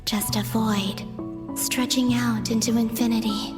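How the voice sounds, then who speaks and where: Game role: woman as a pensive young moon goddess, breathy and soft, higher-pitched, slow and deliberate. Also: level tone, long reverb tail, loud music behind the voice, natural voice. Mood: weary, resolved. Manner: breathy, hushed, unhurried and measured. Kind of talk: dubbed game cutscene inner monologue.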